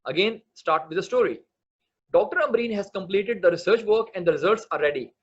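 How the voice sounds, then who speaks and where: A man's voice: high voice, animated, brisk, breathy edge, dull audio. Man from Pakistan giving an online lecture. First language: not English. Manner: hesitant, many fillers, earnest and explanatory. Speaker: medical writing instructor.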